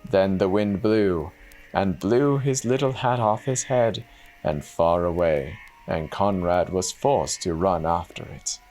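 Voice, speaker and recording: Male storyteller, low register, slow dramatic fairy-tale narration over soft music.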